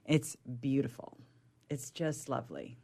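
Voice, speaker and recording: Low-voiced woman chatting about books. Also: sing-song, unhurried, creaky, warm tone. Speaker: actor and discussion panelist. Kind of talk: casual book chat.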